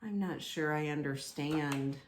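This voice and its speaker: Small unsure wooden storybook character, male, acted by a woman: plaintive, hesitant, slow, with room echo. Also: low-pitched, slight background noise, pitched below the performer's own voice. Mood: puzzled. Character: timid, unsure little wooden puppet-person, male. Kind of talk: children's storybook read-aloud.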